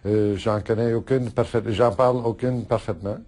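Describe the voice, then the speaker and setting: A middle-aged man whose first language is Italian, answering interview questions in a halting way with many fillers and restarts, laughing often. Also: lowish voice, fairly even intonation, a little mellow. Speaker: politician interviewee.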